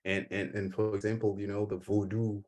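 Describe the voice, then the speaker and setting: A man from Haiti with a Haitian accent, giving an earnest conference talk and taking questions, halting at times with repeated words. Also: lowish voice, little pitch movement, a little mellow. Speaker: conference speaker. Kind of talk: conference speech then Q&A.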